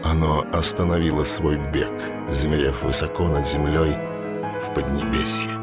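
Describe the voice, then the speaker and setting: An actor as the solemn storyteller narrating an audio drama. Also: male voice, extremely low pitch, little pitch movement, moderate pace, over loud music. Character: solemn storyteller setting the scene.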